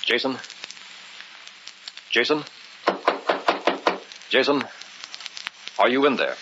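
A man's voice: medium pitch, animated, drawn-out words. An actor playing a sceptical, exasperated married man investigating a mystery in an old-time radio drama. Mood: brisk, concerned. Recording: muffled, noisy old radio recording.

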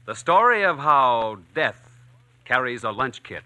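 A man's voice: mid-range voice, sing-song, unhurried, bright, forceful tone, a little hiss or hum. A man announcing and reading sponsor copy in a polished, emphatic 1940s radio style.

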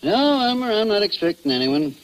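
An actor playing a small-town police chief, dry and clipped, in 1940s radio drama style. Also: male voice, high voice, sing-song, brisk. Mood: dry, teasing. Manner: wry, clipped, unruffled. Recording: faintly noisy old radio recording.

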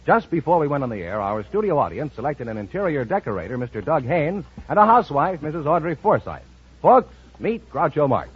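A man's voice: a little high, sing-song, fairly quick, breathy edge. An American man announcing a radio quiz show in the scripted style of a 1950s broadcast announcer. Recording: dull vintage radio broadcast.

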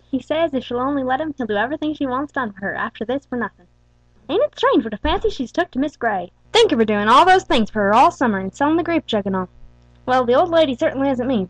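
A middle-aged country wife gossips breathlessly in folksy talk, as a woman acts her out. Her high, quick voice runs on without pause. The muffled, lo-fi recording is dry and close. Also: no room sound. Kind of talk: audiobook character reading.

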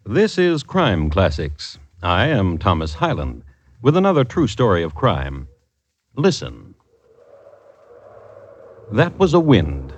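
An actor playing a dry, wry connoisseur of crime, narrating deliberately as host of an old-time radio show. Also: male voice, on the low side. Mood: wry, ominous. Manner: droll, deadpan, deliberate storytelling. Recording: muffled vintage radio broadcast with music.

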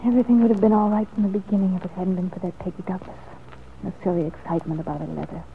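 A woman's voice: mid-range voice, steady tone, rapid-fire, creaky, a little hiss or hum, close to an everyday voice. An actress playing a determined, anxious married woman in an earnest, dramatic old radio soap opera style. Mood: brooding resolve. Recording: old muffled radio recording.

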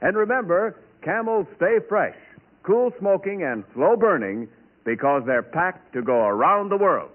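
Animated, high-voiced 1940s radio announcer man. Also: unhurried. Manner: polished, emphatic salesmanship. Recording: tinny band-limited 1940s radio recording.

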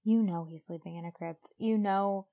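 A woman's voice: medium pitch, fairly even intonation, normal speed, warm tone. Irreverent, profane woman chatting on a podcast. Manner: irreverent, profane, jokey, acting out imagined quotes. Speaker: podcast co-host. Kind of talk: casual chat, book discussion podcast.

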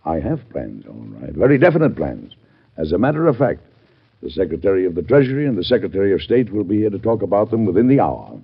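An actor playing a steadfast older president in an old-time radio drama, measured, paternal and resolute. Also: male voice, low-pitched, sing-song, vocal fry, a little hiss or hum, full character voice. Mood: firm, resolute. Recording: muffled old radio broadcast.

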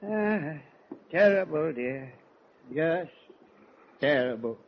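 Slow, high-voiced old boat captain. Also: male voice, animated, heavily acted. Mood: grumbling. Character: easygoing, blunt old fishing boat captain, an uncle. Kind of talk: 1940s radio drama acting. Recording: muffled, noisy 1940s radio recording.

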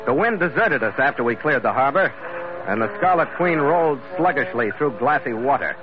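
An actor playing a firm, weary American ship's master in a 1940s radio adventure, with a terse, hard-boiled delivery. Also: male voice, mid-range voice, natural voice. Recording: muffled old radio recording with loud music.

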